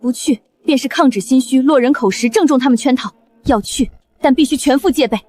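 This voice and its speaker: Period drama noblewoman, female actor: higher-pitched, formal, shrewd and devoted. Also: a little fast, background noise, lightly acted. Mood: urgent, commanding. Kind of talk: Chinese costume drama dialogue.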